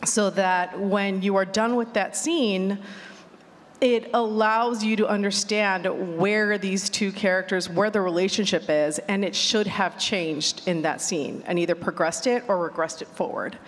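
A woman speaking as a panelist, explaining her craft in deliberate, instructive phrases. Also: mid-range voice, fairly even intonation. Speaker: panelist, romance storyteller. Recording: echoey hall.

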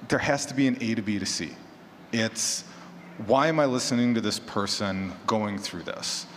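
A man with a fairly low voice talks casually as a panelist. He explains things conversationally, often acting out little hypothetical quotes. The sound carries a long hall reverb with faint noise behind it. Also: fairly bright tone. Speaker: panelist, horror fiction podcaster. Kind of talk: casual conference panel talk. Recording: echoey hall, clear background noise.

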